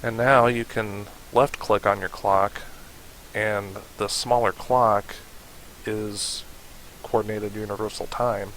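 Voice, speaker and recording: Man, low creaky voice, casual tutorial narration, thinking aloud, faint hiss. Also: level tone, slow pace, a bit bright, poor audio. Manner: informal, thinking aloud while demonstrating. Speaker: tutorial host. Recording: hissy home recording.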